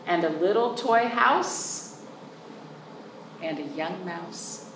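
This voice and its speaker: A woman hosting storytime for young children, reading aloud in an animated sing-song way with chatty asides to her listeners. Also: on the low side, very slow. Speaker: children's storytime host at a library. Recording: roomy, distant microphone with noticeable background noise.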